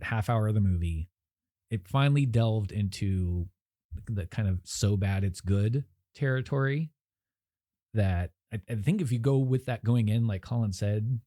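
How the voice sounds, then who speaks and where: A man's voice: on the low side, dark tone, no echo, clean studio sound. A man co-hosting a podcast, giving blunt, opinionated movie reviews in casual chat. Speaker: podcast co-host.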